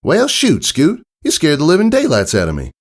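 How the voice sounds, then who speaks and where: A man's voice: on the high side, wildly swooping pitch, moderate pace, pitched above the performer's own voice. A man voicing a friendly farm mare, a big sister with folksy, plain-spoken country talk, in a storybook reading. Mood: startled, friendly.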